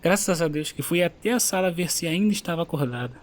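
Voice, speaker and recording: An actor playing a stern but loving father who scolds his daughter and then soothes her gently. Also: a little high, animated, quick pace, lightly acted. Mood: scolding.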